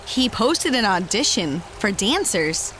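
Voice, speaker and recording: A woman's voice: medium pitch, sing-song, takes it slowly, fairly bright tone, slight background noise, low-quality recording. A woman playing a brash, wry young adventurer in an audio drama, with a slangy, offhand delivery.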